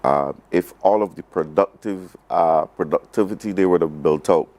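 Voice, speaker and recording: Male interview guest, Barbadian accent, very low pitch, halting delivery with emphatic repetition. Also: a little mellow. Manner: halting, repeats phrases emphatically. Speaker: government program advisor, interview guest. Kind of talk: live broadcast interview.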